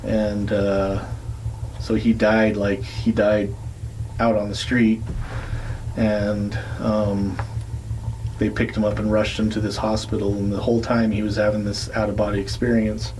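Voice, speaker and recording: A man with a lowish voice tells a long story in a loose, chatty way. He fills the gaps with ums and likes, and a faint hiss sits under the recording. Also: monotone, a little slow, a little mellow, low-quality recording. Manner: rambling storytelling with many fillers. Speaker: podcast co-host. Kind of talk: casual chat.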